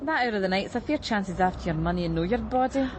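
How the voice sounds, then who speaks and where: Woman acting a scottish street girl, talks fast, lively highish voice, blunt, noisy street. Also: lightly acted. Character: blunt, streetwise Glasgow female prostitute, gossipy. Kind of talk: radio crime drama acting.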